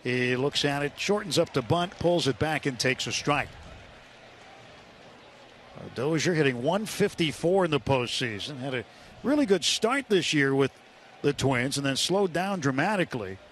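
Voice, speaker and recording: An American man doing live radio play-by-play of a baseball game, with unhurried pitch calls and pauses between phrases. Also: expressive, a bit bright. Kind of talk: live sports commentary. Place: ballpark broadcast booth. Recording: live stadium broadcast, ambient noise.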